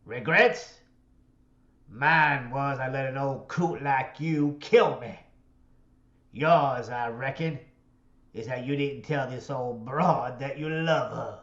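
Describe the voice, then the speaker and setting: A menacing outlaw from a radio western, acted by a man, taunts in slow cowboy talk. The sound is dull and echoey, the pitch middling. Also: a bit bright. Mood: taunting. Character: menacing, taunting Old West outlaw, a father. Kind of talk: western radio play acting.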